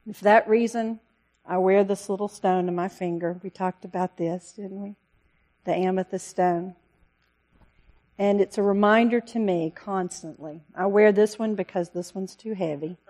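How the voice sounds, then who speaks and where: A woman missionary giving an earnest, pleading talk to a church congregation, with a loud voice. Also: mid-range voice, steady tone, moderate pace. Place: church service.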